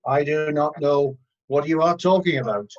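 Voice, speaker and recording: A man's voice: on the high side, normal speed, darkish tone, natural voice. A man playing a defensive disciple who denies his master, in a dramatic scripture reading over a video meeting. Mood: defensive denial. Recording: dull, lo-fi video meeting audio.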